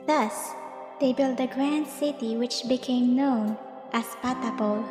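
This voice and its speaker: High-voiced woman narrator in deep reverb. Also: steady tone, measured pace. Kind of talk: scripted storybook narration of a fantasy legend. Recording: echoey long reverb, music behind. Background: music.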